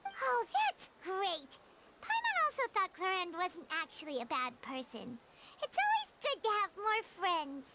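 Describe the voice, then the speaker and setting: A woman's voice: very high-pitched, wildly swooping pitch, measured pace, very noisy, full character voice. A woman voicing a tiny, cheerful, childlike female companion creature in a video game, with a bubbly, chirpy way of talking. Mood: pleased. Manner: bubbly, chirpy, refers to self in third person. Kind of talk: video game character acting. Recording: music playing behind the voice.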